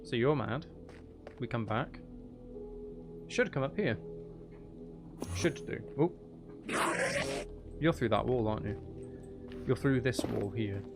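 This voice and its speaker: A man's voice: medium pitch, takes it slowly, gravelly, long pauses. Man with crackly voice doing gameplay commentary. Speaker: gamer playing Minecraft. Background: background music.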